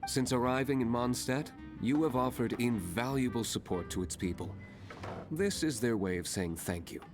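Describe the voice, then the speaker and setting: A man's voice: medium pitch, lively intonation, normal speed, music in the background, close to an everyday voice. An actor playing a reserved, curt young nobleman tending bar in a video game, dry and serious. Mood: formal.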